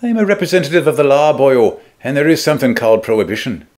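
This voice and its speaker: An actor playing a cocky, hearty police sergeant with an Irish accent in an old-time radio drama. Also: male voice, mid-range voice, big pitch swings, quick pace, darkish tone, natural voice. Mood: cocky, curious.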